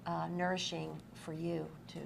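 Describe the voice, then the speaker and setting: A woman's voice: fairly low pitch, flat delivery, normal speed. A woman interviewing a guest in an effusive, affirming way, sometimes reading aloud from a book. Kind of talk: on-stage interview with read-aloud book passages. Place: busy convention event room. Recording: clearly noisy live event room recording.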